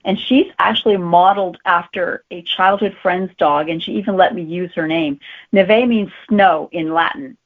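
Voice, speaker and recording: A woman's voice: lowish voice, normal speed. A woman talking casually as an author being interviewed. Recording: tinny phone-like line.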